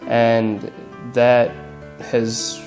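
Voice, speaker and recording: 19 yr old guy, flat even voice, matter of fact, lots of uhs. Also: mid-range voice, drawn-out words, faint music behind. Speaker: interviewee. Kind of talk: recounting his own story to camera.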